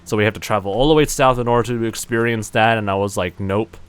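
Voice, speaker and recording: Male streamer, lowish voice, loose rambling game-stream chatter, faint music underneath. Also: fast, smooth. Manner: rambling, many fillers, self-mocking. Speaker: livestreamer. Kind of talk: casual gaming livestream commentary.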